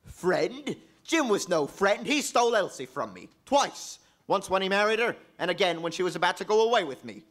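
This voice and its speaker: A man's voice: high-pitched, very animated, moderate pace, hardly any pauses, fairly bright tone. A man acting a desperate, jealous murderer in an old-time radio melodrama, overwrought and defiant. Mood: bitter, defiant.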